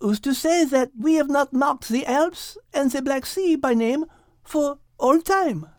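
A man puts on a dainty French she-cat for a children's audio story. She speaks in a high feminine register with polished manners and a sweetly teasing confidence. The sound is close and dry. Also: a little slow, no echo. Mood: gently challenging. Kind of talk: audiobook character acting and show hosting.

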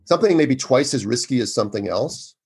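A man in his sixties, an obstetrician speaking as a guest in an interview, with a loud, emphatic and earnest way of talking. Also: mid-range voice, animated, quick pace, breathy edge. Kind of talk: conversational interview.

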